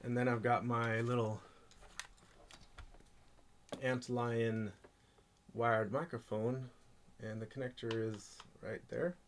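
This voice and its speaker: A man's voice: mid-range voice, steady tone. Man giving a tutorial over background noise. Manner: halting, thinking aloud while handling gear. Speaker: tutorial presenter. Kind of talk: informal hands-on tech tutorial.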